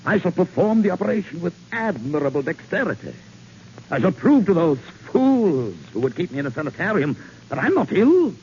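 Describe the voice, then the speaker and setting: An actor playing a deranged, vain doctor, courtly and condescending, in theatrical 1940s radio style. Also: male voice, high-pitched, big pitch swings, moderate pace, darkish tone. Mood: grandiose mania. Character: sinister, deranged male doctor, smoothly polite and vain. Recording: dull, noisy 1940s radio recording.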